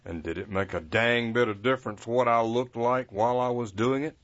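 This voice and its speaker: A man's voice: medium pitch, a little fast, fairly bright tone, no echo, close to an everyday voice. A man voicing a blind caretaker who is folksy, patient and kind. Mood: earnest. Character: blind male caretaker, gentle and wry. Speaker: nurse to wounded soldiers. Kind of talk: audio drama acting. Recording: muffled lo-fi recording.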